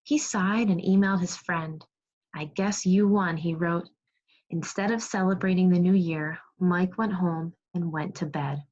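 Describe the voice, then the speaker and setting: Expressive woman teacher reading aloud, muffled audio. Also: on the low side, unhurried. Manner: expressive storybook reading, praising and prompting pupils. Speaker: second grade teacher. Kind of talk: read-aloud lesson to young children. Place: remote video class. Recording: muffled, lo-fi online class audio.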